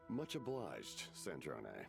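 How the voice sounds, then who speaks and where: Game role: imposing, solemn male commander. Bottomless bass, expressive range, formal courteous phrasing. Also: vocal fry, over music, exaggerated voice. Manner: formal, measured, polite. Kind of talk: video game voice acting.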